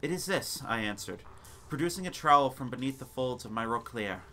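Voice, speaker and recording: Bright-voiced man narrating dramatically. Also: mid-range voice, lively intonation, a little fast, soft background music. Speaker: narrator of a gothic short story. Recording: noisy ambience sound bed. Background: background ambience and sound effects.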